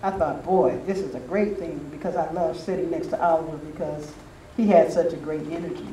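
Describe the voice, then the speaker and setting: High-voiced older man in a roomy space. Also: fairly even intonation, fairly quick, mellow. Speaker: speaker at a spiritual retreat. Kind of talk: informal anecdotal talk to a gathering. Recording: roomy, distant mic with faint noise.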